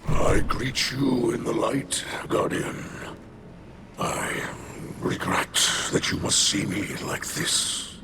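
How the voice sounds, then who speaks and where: An actor playing a weary, sick alien elder who speaks solemnly and formally with a breathy voice. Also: male voice, medium pitch, takes it slowly, over music. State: weak from illness. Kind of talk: game cutscene acting.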